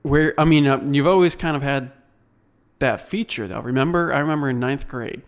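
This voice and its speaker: A young man co-hosts a casual podcast. He speaks at a middling pitch and teases his co-host with dry one-liners. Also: a little fast, smooth. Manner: dry, teasing. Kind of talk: casual chat.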